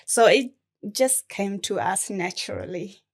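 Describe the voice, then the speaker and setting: A young woman from Thailand, a Karen refugee, speaking slowly and reflectively as a guest in an interview. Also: mid-range voice. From born in Thailand, Karen refugee. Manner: slow, measured and reflective, with gentle laughs. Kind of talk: conversational interview.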